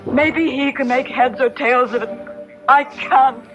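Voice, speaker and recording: An actress playing an anxious, indignant middle-aged wife in an old-time radio drama, speaking in a prim, emphatic way. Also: female voice, high-pitched, steady tone, measured pace. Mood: indignant fear. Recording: dull vintage radio broadcast with music.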